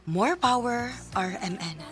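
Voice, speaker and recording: A woman's voice: a little high, lively intonation, slow pace. An actress playing a guilt-ridden, self-sacrificing young woman in a Filipino radio drama, with a Cebuano accent and an earnest, confiding way of speaking. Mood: wistful. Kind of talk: Filipino radio drama acting with letter narration. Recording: music under the voice.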